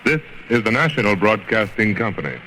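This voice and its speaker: A man's voice: lowish voice, animated, brisk, sharp tone, faint music behind. A man reading a 1930s radio news bulletin in a clipped, formal announcer's manner. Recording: faintly noisy 1930s radio recording.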